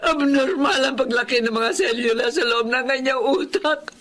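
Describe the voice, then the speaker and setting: A woman's voice: high voice, normal speed, fairly bright tone. An actress playing a grieving, anxious mother, speaking tearfully over a music bed. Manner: tearful, pleading. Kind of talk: Filipino radio-style drama.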